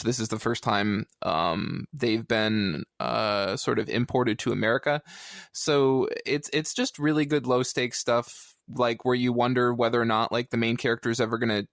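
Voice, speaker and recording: A man co-hosts a casual podcast with an average-pitched voice. He rambles and hesitates, slipping in tongue-in-cheek asides. The recording is tight and dry, free of room sound. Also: normal speed, fairly bright tone, no room sound. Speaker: podcast co-host. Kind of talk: casual comic book review chat.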